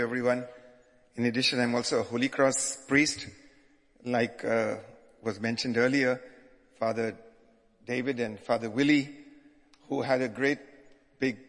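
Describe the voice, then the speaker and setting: An older man with an Indian accent speaks to a congregation as a visiting bishop. He pauses and restarts often, his voice at a middling pitch. A long church echo hangs behind every phrase. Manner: hesitant, frequent restarts. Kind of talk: sermon-style address.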